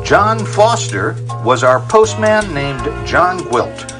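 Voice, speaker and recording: A measured announcer reading the closing credits with a lively lilt. Clear guitar music plays behind the voice. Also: mid-range voice.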